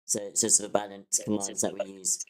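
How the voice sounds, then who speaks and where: A woman's voice: extremely low pitch, flat delivery, fairly quick, crowd noise. A woman talking casually in an online voice chat, like a community member helping out.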